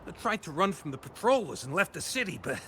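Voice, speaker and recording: An actor voicing a rough, shifty bandit in a video game, with blustering, folksy slang and a grudging, bullying manner. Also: male voice, a little high, big pitch swings, quick pace. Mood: sheepish.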